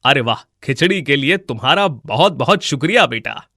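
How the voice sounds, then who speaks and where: A man playing a friendly, delighted food-stall customer who speaks effusively in an animated moral story. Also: mid-range voice, moderate pace, dry recording, clean studio sound. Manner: effusive, praising.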